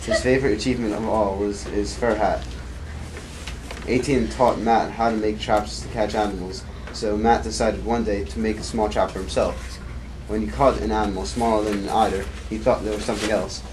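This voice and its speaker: A man's voice: lowish voice, fairly even intonation, moderate pace. A man narrating an amateur puppet show, reading hesitantly with frequent restarts. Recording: noisy amateur recording.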